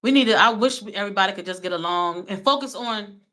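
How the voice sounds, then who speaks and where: A woman's voice: medium pitch, quick pace, bright, forceful tone. A woman from Maryland with a US East Coast accent, co-hosting a casual livestream and repeating her phrases for emphasis. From small town in Maryland, United States. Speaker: co-host of a livestream.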